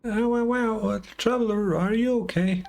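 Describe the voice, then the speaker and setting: A man voicing a small, excitable female fairy companion in a video game, whiny, stammering and easily flustered. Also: high voice, moderate pace, gravelly, pitched above the performer's own voice. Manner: flustered, stammering, refers to self by name.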